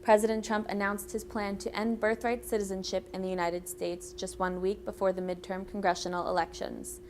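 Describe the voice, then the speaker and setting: A woman's voice: medium pitch, a little hiss or hum. Young woman reading a scripted newscast. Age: young adult. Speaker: student news anchor and reporter.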